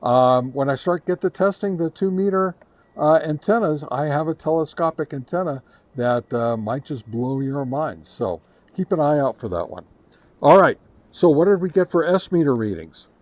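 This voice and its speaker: A man hosting a casual product review, speaking haltingly to his viewers and repeating words for emphasis. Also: fairly high pitch, expressive, a little mellow. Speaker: host reviewing radio antennas.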